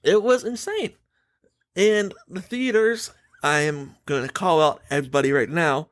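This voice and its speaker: A man with a fairly high voice talks as a podcast host, recorded very dry with almost no room around him. He chats casually but makes his points emphatically, full of opinion and the odd swear word. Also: measured pace, no room sound.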